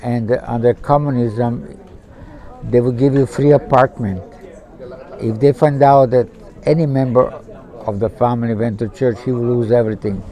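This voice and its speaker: An older man in his seventies recounts his testimony in halting, simple English, as someone who learned it late. His voice is mellow and dark, pitched in an ordinary middle range. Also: rough recording. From born in Macedonia, raised in Zagreb. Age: 70s. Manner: halting, simple non-native English phrasing. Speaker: interviewee. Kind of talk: personal testimony in conversation. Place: outdoors at a pilgrimage site.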